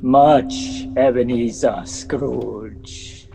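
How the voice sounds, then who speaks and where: A man's voice: mid-range voice, fairly even intonation, creaky, over music, low-quality recording. An actor playing the grave, solemn ghost of a dead business partner in an audio play, drawing out his words. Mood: solemn, ominous. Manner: slow, grave, drawn-out delivery.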